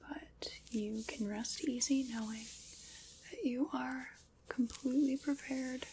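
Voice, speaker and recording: A woman whispering breathily in an ASMR roleplay, soft, unhurried, formal and reassuring. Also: high voice, steady tone, a little hiss or hum.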